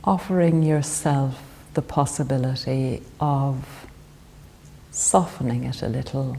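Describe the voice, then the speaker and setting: A woman guides a body scan meditation. Her voice is low and mellow, and she speaks very slowly and gently, leaving calm pauses between phrases. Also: vocal fry, slight background noise, poor audio.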